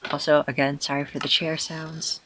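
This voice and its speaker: A man with a hoarse, tired voice, self-consciously rambling through casual gameplay commentary with many fillers and restarts. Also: fairly high pitch, flat delivery, normal speed, background noise. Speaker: let's player.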